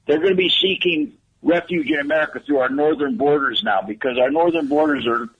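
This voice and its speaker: A man's voice: a little high, big pitch swings, fairly quick, a bit bright, highs cut off, slight background noise. An older retired man, a guest on a political talk radio show, speaking emphatically and repeating phrases for stress.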